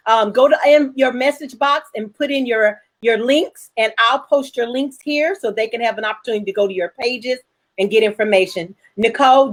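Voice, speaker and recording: A woman hosting a chat about her work as a filmmaker, answering viewers in a frank, self-assured way. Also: on the high side. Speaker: host, independent filmmaker.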